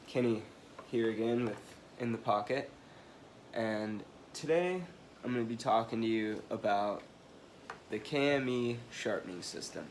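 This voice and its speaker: A man's voice: fairly low pitch, animated, unhurried, low-quality recording. Guy with a blocked-up nose chatting casually about his kit, keeps restarting, faint hiss behind. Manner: rambling, apologetic, many fillers and restarts. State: stuffy nose from allergies or a cold. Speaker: knife review vlogger. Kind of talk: casual rambling product review.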